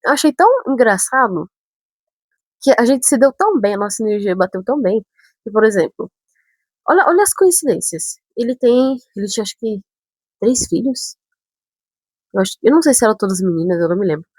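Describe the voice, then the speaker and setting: A chatty young woman from São Paulo tells a story straight to camera. Her speech carries touches of a northern Brazilian accent. Her voice is a little husky and breathy, and she jumps into animated asides. The sound is close and dry, with no room echo. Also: fairly high pitch, a little fast, pauses often. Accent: northern Brazilian touches mixed with São Paulo speech. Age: 20s. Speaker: vlogger.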